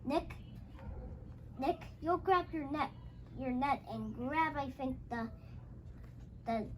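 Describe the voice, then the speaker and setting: High-pitched child reading a story, halting. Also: pushed and bright, slight background noise, poor audio. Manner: halting, repeats phrases, exclaims dialogue. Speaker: young host reading a storybook aloud.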